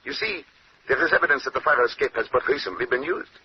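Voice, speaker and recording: An actor playing a fussy, shrewd Belgian detective with a French-Belgian accent, precise, courteous and theatrical, in 1940s radio style. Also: male voice, mid-range voice, fast, natural voice. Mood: composed, confident. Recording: muffled, noisy old-time radio recording.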